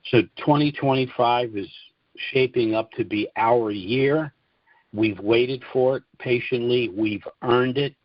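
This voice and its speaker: A middle-aged or older American man hosting a client conference call, speaking informally and in a measured way with hesitant mid-sentence pauses. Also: mid-range voice, dry recording. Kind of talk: informal financial monologue to clients. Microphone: conference call line. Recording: dull, dry, lo-fi conference call audio.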